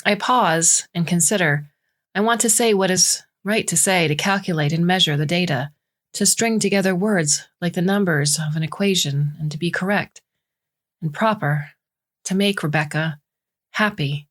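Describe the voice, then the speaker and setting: A gentle household AI narrates her story through a woman reader in a slightly low female voice. She is precise and observant, choosing formal words with careful, measured diction. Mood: gentle, earnest. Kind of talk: scripted first-person story narration.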